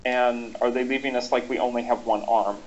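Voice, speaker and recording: Guy thinking out loud as a guest, lots of ums, middle pitch, kinda muffled audio. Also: steady tone, fairly quick. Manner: reflective, many ums and restarts. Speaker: guest expert. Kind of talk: thoughtful conversational explanation. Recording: dull lo-fi audio.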